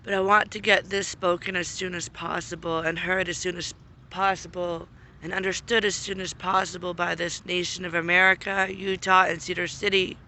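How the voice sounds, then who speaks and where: A man who is losing his voice and getting sick, making an earnest, repetitive spoken appeal and often clearing his throat. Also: sits high, level tone, normal speed, bright, forceful tone, slight background noise. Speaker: vlogger making a public appeal. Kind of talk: unscripted appeal to camera.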